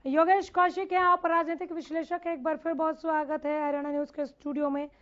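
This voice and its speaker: A woman's voice: high-pitched, level tone, fast talker, a bit bright. A woman moderating a television news debate as its host, addressing guests and putting questions to them. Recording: slightly dull, lo-fi broadcast audio.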